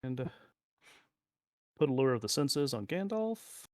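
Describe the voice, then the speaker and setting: A man's voice: mid-range voice, big pitch swings, a little slow, dry recording, studio quality. A middle-aged man chatting casually as he plays a card game, talking through his moves and muttering to himself and the cards.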